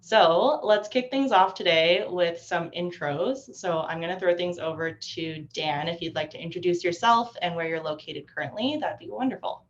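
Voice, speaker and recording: A woman hosting an online panel discussion over a video call, friendly and quick to laugh. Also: lowish voice, highs cut off. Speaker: panel host.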